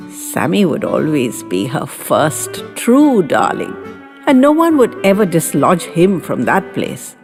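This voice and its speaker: Reverberant recording, female storyteller, lively intonation, dramatic tender audiobook delivery. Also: on the low side. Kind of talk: expressive scripted audiobook narration. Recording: long reverb with background music. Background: music.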